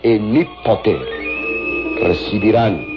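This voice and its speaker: An actor playing a sinister crime boss who gives orders slowly and solemnly in a radio drama. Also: male voice, lowish voice. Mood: commanding. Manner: slow, solemn, commanding. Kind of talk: Mexican radio drama acting. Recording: dull lo-fi radio recording with music.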